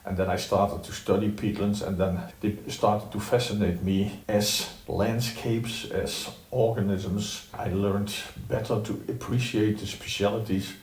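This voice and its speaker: An older Dutch-born man with a low, mellow voice talks in a plain, direct manner, with a dry humor to his answers. He sounds set back from the mic in a roomy space. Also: little pitch movement, a little slow, few pauses, recorded from a distance. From Netherlands. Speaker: interview guest, retired professor. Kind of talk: reflective interview answers.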